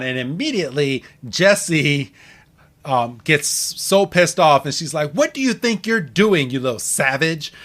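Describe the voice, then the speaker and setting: A man's voice: on the high side, fairly bright tone. A man hosting a podcast, chatting informally and retelling things with quoted reactions. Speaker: podcast host. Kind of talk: casual podcast recap and discussion.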